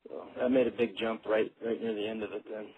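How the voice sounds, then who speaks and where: A man's voice: fairly low pitch, fairly even intonation, fast talker. A man giving a casual, plain-spoken, folksy phone interview as a contestant being asked about his experience. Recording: muffled phone line with background noise.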